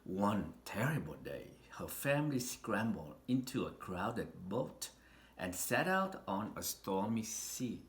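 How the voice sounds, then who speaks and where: Male storybook narrator, lowish voice, unhurried deliberate delivery with dramatic pauses, echoey room. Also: big pitch swings. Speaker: storybook reader. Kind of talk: read-aloud children's picture book narration. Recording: roomy echo with faint background noise.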